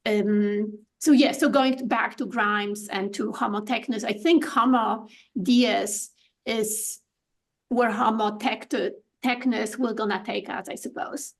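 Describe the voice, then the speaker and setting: A woman's voice: fairly high pitch, fairly even intonation, slow. A woman from Poland with a Polish accent, a guest on a podcast interview, speaking thoughtfully with many fillers and restarts. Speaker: guest artist.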